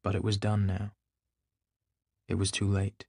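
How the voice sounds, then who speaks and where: A man's voice: on the low side, monotone, a little slow, gravelly, mellow, slightly rough, no room sound, studio quality. A man reading literary fiction as a scripted audiobook narrator.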